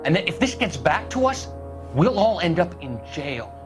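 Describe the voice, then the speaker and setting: A man's voice: on the high side, very animated, normal speed, faint music behind. A male actor playing a young medical student and war veteran in a video game, earnest and pleading.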